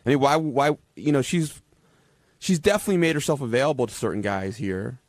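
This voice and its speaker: A man's voice: mid-range voice, brisk, no echo, clean studio sound. A man talking casually as a panelist on a radio show. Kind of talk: casual chat. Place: radio studio.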